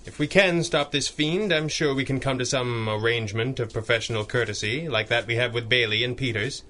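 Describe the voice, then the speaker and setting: A man playing a stern masked hero with a measured, formal, authoritative delivery in a 1930s-set radio drama. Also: mid-range voice, sharp tone, natural voice. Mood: firm, formal. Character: stern, commanding masked male crime-fighter hero.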